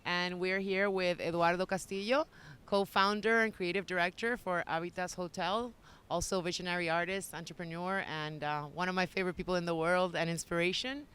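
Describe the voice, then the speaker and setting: A woman working as a correspondent, introducing an interview guest in a very clear, smooth voice. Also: on the low side, steady tone, brisk, fairly bright tone.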